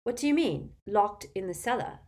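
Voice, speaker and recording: A woman's voice: medium pitch, lively intonation, moderate pace, a little mellow, pitched above the performer's own voice, natural voice. A woman voicing a puzzled, earnest teenage wizard boy in a storybook, with a questioning manner. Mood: shocked, questioning.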